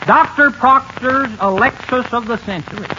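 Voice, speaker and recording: A man's voice: very high-pitched, moderate pace. A man playing a cocky, folksy, wisecracking sidekick in 1950s radio comedy. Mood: brash, cocky. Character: folksy, cocky comic sidekick peddling quack cures. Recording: muffled, noisy 1950s radio recording.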